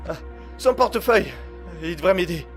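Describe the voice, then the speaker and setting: A man's voice: high voice, animated, moderate pace, over music. A man playing a panicked, defensive killer in an audio drama, agitated and pleading as he protests. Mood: anxious. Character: panicked, defensive man who has just killed someone. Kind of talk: amateur audio drama acting.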